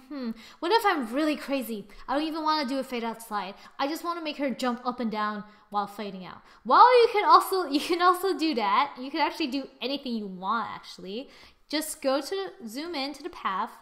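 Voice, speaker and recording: Playful, bright, high-voiced young woman. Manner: playful, exclaiming with little woos and sing-song asides. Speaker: tutorial presenter. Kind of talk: casual software tutorial narration. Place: screen-recorded voice-over.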